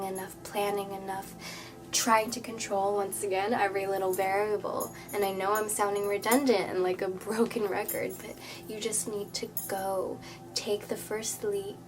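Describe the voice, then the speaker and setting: Congested woman giving a tarot reading. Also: mid-range voice. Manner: earnest, soothing, often drops to a hushed whisper. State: congested, a bit nasal. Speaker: tarot reader. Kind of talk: spoken tarot reading to viewers. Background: background music.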